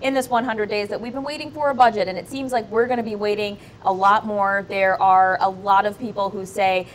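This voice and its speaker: A woman's voice: medium pitch, steady tone, brisk, a little hiss or hum, low-quality recording. A woman giving conversational political news analysis as a reporter in a television studio.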